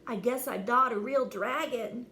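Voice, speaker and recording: A woman's voice: a little high, unhurried, sharp tone, a little hiss or hum. A woman voicing an earnest little boy dragon in a storybook, childlike in manner. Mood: downcast.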